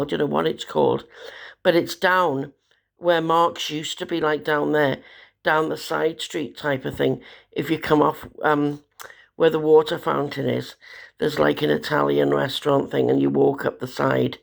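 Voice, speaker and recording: A woman, hoarse from a cold, talking in a despairing, rambling way about her troubles. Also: deep voice. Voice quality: gravelly. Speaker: vlogger. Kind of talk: rambling personal monologue.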